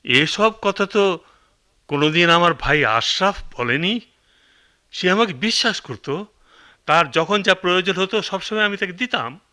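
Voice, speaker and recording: Blustering, greedy uncle, piercingly bright voice. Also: male voice, high-pitched, animated, measured pace, pauses often, exaggerated voice. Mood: defensive. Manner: sarcastic, blustering. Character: greedy, deceitful, short-tempered elder uncle. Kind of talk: Bengali radio play acting.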